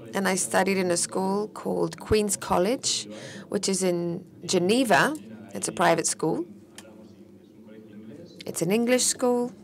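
Woman doing live interpreting for a panel, bit of vocal fry, steady but kinda halting, restarts to keep up. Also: medium pitch.

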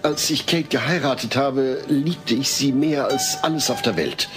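A man's voice: medium pitch, moderate pace, music in the background, natural voice. An actor playing a patient, well-meaning family father who reasons earnestly in a comedy audio drama. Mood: earnest, awkward. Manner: earnest, reasoning, hesitant when awkward.

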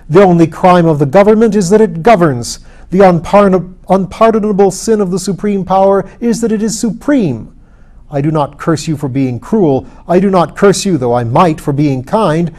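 A man's voice: a little high, mellow, lightly acted. A man reading a storybook, voicing a bitter, defiant anarchist who declaims with passion against the powerful. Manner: impassioned, declamatory.

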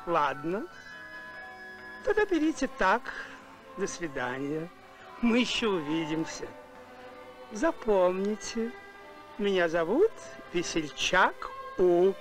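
A man voicing a sly, fat, jolly space villain in an animated film, with an ingratiating, theatrical manner. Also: sits high, slow pace, long pauses, faint music behind, exaggerated voice, rough recording. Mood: sly cheer. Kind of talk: Soviet animated film acting.

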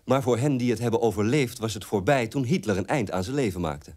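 A wounded, embittered grown son played by a man delivers a radio drama monologue. His voice sits at a middling pitch, and his delivery is measured and aggrieved. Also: darkish tone, close to an everyday voice. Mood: bitter, wounded. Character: bitter, wounded grown son of Nazi parents.

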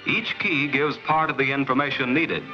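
An American man reading scripted 1950s promotional film narration in a measured, explanatory, clearly enunciated way. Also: mid-range voice, steady tone, soft background music. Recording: dull vintage film soundtrack.

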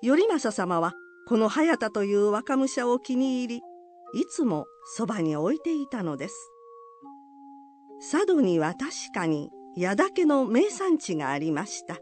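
A woman narrating an old folk legend in a formal, polite and expressive storytelling manner. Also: a little high, measured pace, soft background music.

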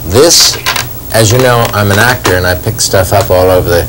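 A middle-aged man showing collectibles in conversation, measured and pausing often. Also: fairly low pitch, noisy background. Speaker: actor and collector, guest. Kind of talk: conversational show-and-tell. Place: wood-furnished room at a table.

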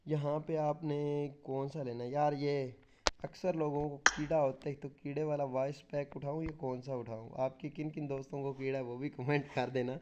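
A man's voice: medium pitch, steady tone, moderate pace, muffled recording, a little hiss or hum. A man chatting casually through a game walkthrough, repeating simple steps. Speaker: gaming YouTuber.

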